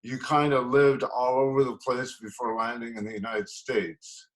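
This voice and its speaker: A man's voice: mid-range voice, fairly even intonation, normal speed, low-quality recording. A man, a pastor hosting a podcast interview, talking in a joking, chatty way. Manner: jokey, chatty, with laughs.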